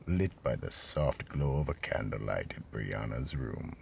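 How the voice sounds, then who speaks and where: A man playing an ominous, theatrical narrator of a horror radio play, with a gravelly voice. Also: extremely low pitch, animated, a little slow, slight background noise, full character voice. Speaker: narrator and show host. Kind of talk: dramatic scripted horror narration.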